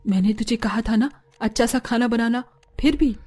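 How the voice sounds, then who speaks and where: A woman's voice: fairly high pitch, moderate pace, warm tone, natural voice. A woman voicing a demanding, fault-finding mother-in-law in a cartoon family drama. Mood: scolding.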